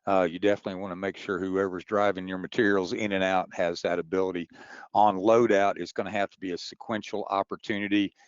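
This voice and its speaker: A man's voice: low voice, level tone, fast talker, clean tone, no echo. A man speaking as an event organizer, giving practical advice in an informal explanatory webinar briefing. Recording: dull, lo-fi online webinar audio.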